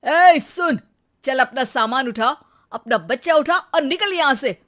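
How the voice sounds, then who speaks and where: A woman's voice: high voice, animated, a little slow, a bit bright. A woman voicing an arrogant, mocking young husband in a moral story, with a scornful, bossy manner. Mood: angry. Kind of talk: acted moral-story dialogue.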